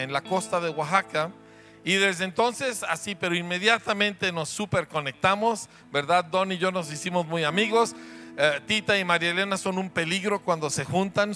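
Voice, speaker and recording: A man's voice: sits high, pushed and bright. A man with a Mexican Spanish accent, a pastor introducing guests to his church in a joking, chatty way that keeps asking the audience questions.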